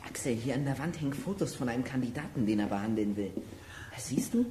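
A performer playing an articulate, excitable and slightly anxious boy detective in a children's audio drama. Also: medium pitch, fast talker, noisy background, lightly acted. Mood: curious.

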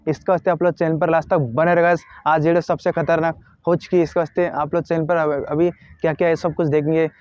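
A young man touring a dam, talking fast and repeating himself as he films himself outdoors with a phone held at arm's length. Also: a little high, flat delivery, a little mellow, dry recording, muffled recording. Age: young adult. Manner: hurried, repetitive, keeps urging viewers along. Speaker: travel vlogger. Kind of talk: casual selfie vlog tour. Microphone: phone camera at arm's length.

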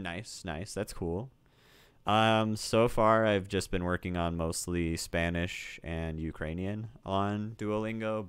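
A man's voice: deep voice, clear, resonant tone, no room sound. A man streaming a language app, repeating the sentences aloud and correcting himself with small laughs. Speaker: streamer learning Spanish. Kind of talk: casual commentary while playing a language app.

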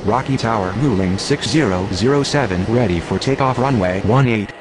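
A low male voice, acted by a man, works air traffic radio in a game. He is formal and businesslike, with clipped phrases over faint vehicle noise. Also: normal speed, natural voice. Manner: formal, procedural.

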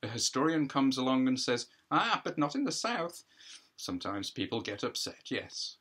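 Guy playing an aggrieved teacher on trial, lecturing and defiant, husky, lively pitch. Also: normal speed. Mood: earnest. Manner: earnest, lecturing, pedantic.

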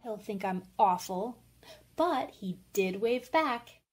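A woman's voice: mid-range voice, lively intonation, slow pace. A woman acting a bold, theatrical tomboy teenage girl in an amateur radio drama, with eager, exclamatory delivery.

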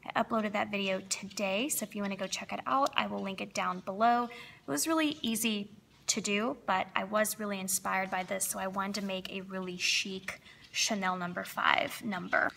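A woman's voice: mid-range voice, fairly even intonation, normal speed, vocal fry, bright, forceful tone, a little hiss or hum. A young woman with a slightly froggy voice, chatting upbeat as she narrates her planner decorating. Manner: chatty, upbeat, lots of fillers. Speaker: planner crafting vlogger. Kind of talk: casual voice-over plan with me.

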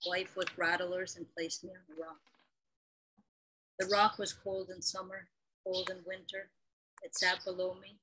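A middle-aged woman reads poetry aloud on a headset during a video call. Her voice sits a little low, with a rough, husky grain and a bright, forward edge. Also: poor audio. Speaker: poetry reader. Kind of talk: poetry reading. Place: room at home, on a video call.

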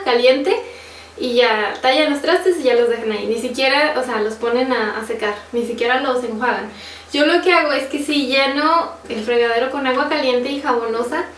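A young woman with a Mexican accent, casually chatting and laughing often as she answers viewer questions on a sofa at home. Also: high-pitched. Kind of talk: casual Q&A chat. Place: living room, on a sofa. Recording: roomy, slightly distant, faint hiss.

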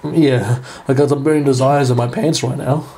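A man's voice: medium pitch, steady tone, normal speed, mellow. A man giving casual live reaction commentary, stammering and repeating his phrases. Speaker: reaction video host. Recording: music playing behind the voice.

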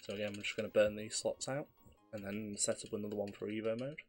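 A slightly hoarse man with a fairly low voice talks through a speedrun in a relaxed, explanatory way. Faint game music plays underneath. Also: quick pace. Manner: relaxed, explanatory, self-deprecating. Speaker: speedrunner commentating his own run. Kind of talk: live gameplay commentary.

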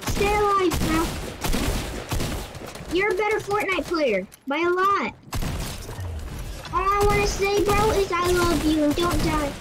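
A performer voicing an excitable, insistent little boy in casual game voice chat. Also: very high-pitched, unhurried, pauses often, very noisy. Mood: excited, urgent. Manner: childish, insistent, repetitive denials. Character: high squeaky chattering little boy, pleading, excitable gamer.

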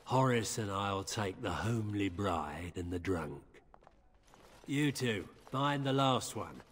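An actor playing a callous guard captain in a fantasy video game, rough-voiced, contemptuous and commanding, in a reverberant space. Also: male voice, lowish voice, animated, slow, heavily acted. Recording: long echoing stone-room reverb.

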